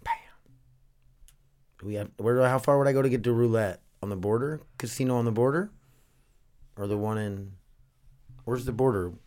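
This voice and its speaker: A man's voice: mid-range voice, normal speed, pauses often, darkish tone. A man hosting a casual podcast, rambling and swearing easily as he talks. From North Dakota. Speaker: podcast host.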